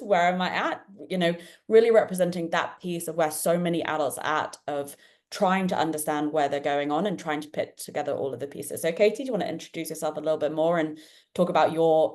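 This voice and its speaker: A woman hosting an online panel discussion, talking in an energetic, chatty and jokey way. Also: lowish voice. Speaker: panel host.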